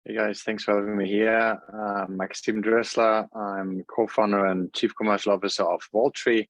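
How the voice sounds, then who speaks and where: A man's voice: on the low side, level tone, normal speed, no echo. A man introducing himself and his company on an online panel, upbeat and businesslike. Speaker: panelist, startup co-founder. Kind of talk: self-introduction on a video call panel.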